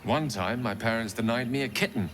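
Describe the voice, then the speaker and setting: A man's voice: mid-range voice, a little fast, music in the background, lightly acted. Man acting an erudite wizard, wryly self-satisfied. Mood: fond, wry reminiscing. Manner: erudite, wry, rambling storyteller. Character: well-spoken, wistful, self-satisfied male wizard in middle age. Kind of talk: video game dialogue acting.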